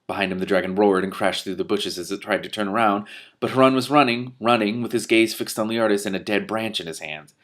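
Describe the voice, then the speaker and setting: A man's voice: on the low side, brisk. A man reading a fantasy story aloud expressively, stumbling and rereading at times, with off-the-cuff asides. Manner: animated in dialogue, stumbles and rereads. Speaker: reader giving commentary on a book.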